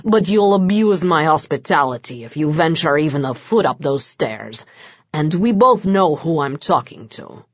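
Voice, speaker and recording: A woman voicing a stern, quick-tempered male vampire lord in an audiobook, clipped and menacing. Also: a little high, animated, no echo, lo-fi sound, voice pushed down. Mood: cold.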